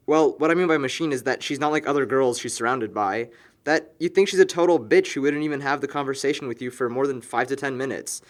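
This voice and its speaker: A young male actor as a sarcastic young guy with a somewhat high voice, exasperated with his best friend. He delivers casual audio drama dialogue in a needling, teasing way. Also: close to an everyday voice. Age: young adult.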